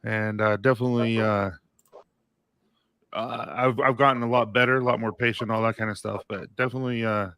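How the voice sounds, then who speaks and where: Male business owner, bright forceful tone, tired and rambling. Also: medium pitch, steady tone, a little fast, clear, resonant tone, dry recording, clean studio sound. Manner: tired, laid-back, rambling. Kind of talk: casual livestream chat.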